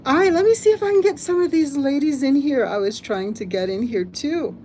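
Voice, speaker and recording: An older woman from the United States hosting a casual live stream, chatting, reading comments aloud and thinking out loud. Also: high-pitched, fairly quick, a little hiss or hum. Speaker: livestream host.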